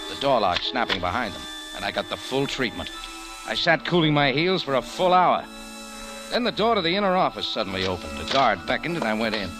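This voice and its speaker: An actor playing a tough, defiant American cafe owner with clipped, wry delivery in a vintage radio noir. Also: male voice, mid-range voice, natural voice. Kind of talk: 1940s radio noir narration and acting. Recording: old radio recording with music.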